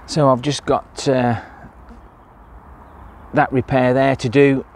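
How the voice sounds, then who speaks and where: A man's voice: medium pitch, little pitch movement, takes it slowly, slight background noise. A man talking casually through an allotment vlog outdoors, with plenty of "uh" fillers. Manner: plain-spoken, many "uh" fillers. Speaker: allotment gardening vlogger. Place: outdoor allotment, in and around a greenhouse.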